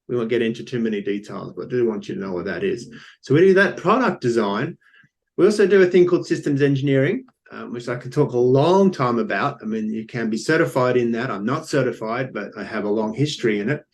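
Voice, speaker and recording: An older man with an Australian accent talks an event audience through his engineering firm. His voice sits at an ordinary middle pitch, and he explains loosely, full of ums and restarted phrases. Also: fast talker, darkish tone. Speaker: company founder pitching. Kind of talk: business pitch presentation.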